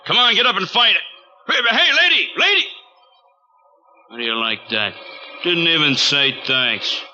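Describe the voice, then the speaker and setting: An actor playing a big, belligerent street tough with slangy bluster in a 1940s radio drama. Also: male voice, fairly high pitch, animated, a little slow. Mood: blustering, combative. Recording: muffled 1949 radio recording.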